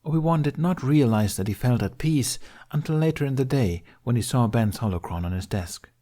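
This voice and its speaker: A man's voice: medium pitch, expressive, brisk, dark tone. A man reading scripted fiction aloud as an audiobook narrator.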